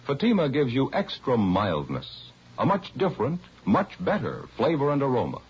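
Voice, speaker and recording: A man's voice: medium pitch, wildly swooping pitch, moderate pace, husky. An American man reading a 1950s radio advertisement with a polished, emphatic sales delivery. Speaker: commercial announcer. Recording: muffled vintage radio, faint noise.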